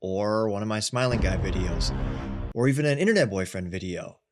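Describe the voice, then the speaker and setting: A man's voice: lowish voice, lively intonation, brisk. A man in his thirties from Thailand, talking casually and self-deprecatingly about his life in a personal vlog. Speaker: actor and content creator. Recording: faint background music.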